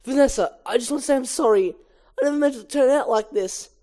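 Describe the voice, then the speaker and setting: A man voicing an excitable, guilt-ridden male cartoon bee from a film script, in an overwrought, exclamatory manner. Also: very high voice, fast, pitched above the performer's own voice. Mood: pleading, sorry.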